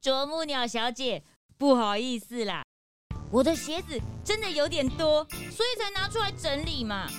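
A cheeky young sidekick in a children's radio play. The voice is high and very animated, exclaiming and whining like a kid. Music plays clearly behind it. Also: female voice, moderate pace. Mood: sheepish. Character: eager, cheeky young detective's assistant, childlike and whiny. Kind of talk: children's radio drama acting.

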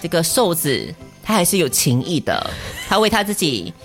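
A woman's voice: fairly low pitch, huge pitch swings, moderate pace, faint music behind. A woman with a Taiwanese Mandarin accent, bantering casually as a podcast co-host in a wry, teasing, mock-earnest manner.

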